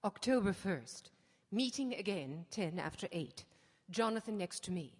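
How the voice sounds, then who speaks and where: An actress playing an earnest young wife, reading out minutes in a clipped, orderly way in a radio drama. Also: female voice, medium pitch, lively intonation, a little slow, darkish tone, slightly rough. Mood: businesslike. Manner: clipped, orderly note-taking delivery. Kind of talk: live radio drama acting. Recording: live stage broadcast in reverberant hall.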